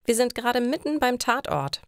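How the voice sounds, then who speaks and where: A woman's voice: on the high side, sing-song, moderate pace, no echo, studio quality, pitched above the performer's own voice. A woman voicing a direct, helpful young woman in a story for language learners. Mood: annoyed. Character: young woman neighbour, direct, helpful, fond of crime shows. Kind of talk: German learner story dialogue.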